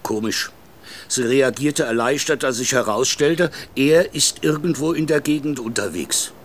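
A man's voice: mid-range voice, unhurried. An actor playing an observant, slightly nosy man at a country inn, narrating what he notices in the first person. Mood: observant, dry.

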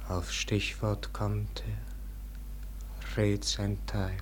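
Noisy old 1940s radio play, a despairing older nobleman, deep voice, brooding halting verse. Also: monotone. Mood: desolate, self-reproachful. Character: despairing ageing nobleman facing Death, full of self-reproach.

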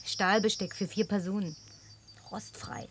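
A woman's voice: medium pitch, fairly even intonation, a little slow, fairly bright tone, a little hiss or hum, close to an everyday voice. An actress dubbing a lonely, wealthy city woman in a German film, talking in a playful, coaxing way. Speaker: Linda, survivor in a German film dub.

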